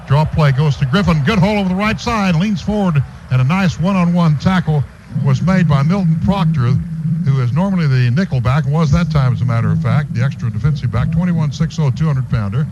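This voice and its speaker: A man's voice: fairly high pitch, a little fast. An American man calling live football play-by-play on the radio, stretching words as plays develop. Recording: dull radio broadcast, clearly noisy.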